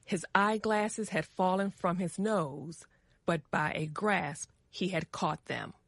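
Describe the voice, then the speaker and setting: A woman with an American accent narrating a story in a measured, carefully enunciated way for English learners. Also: lowish voice, vocal fry, a bit bright, no echo, clean studio sound.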